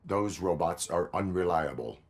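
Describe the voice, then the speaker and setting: A man playing a stern, stoic cyborg police officer in a video game, speaking in terse, formal, deadpan sentences. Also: deep voice, normal speed. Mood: stern, flat. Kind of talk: video game character acting.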